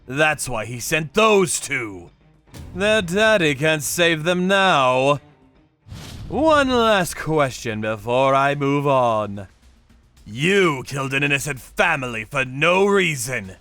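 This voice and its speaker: A man voicing a grim, vengeful torturer who narrates his work in a manga dub with deliberate menace. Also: a little high, expressive, pushed and bright, soft background music, heavily acted. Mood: cold menace. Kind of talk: dramatic first-person manga dub narration.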